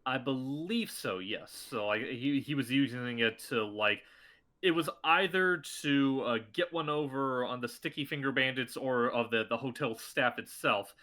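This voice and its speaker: Bright-voiced man rambling casually. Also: medium pitch, a little slow. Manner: rambling, filler-heavy storytelling. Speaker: voice actor guest. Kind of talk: casual podcast chat.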